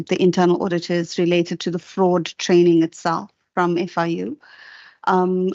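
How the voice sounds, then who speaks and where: A woman with a low, flat voice explains things to a committee, halting over fillers. The recording is dry and muffled. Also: no echo. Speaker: department official answering a committee. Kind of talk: formal committee testimony.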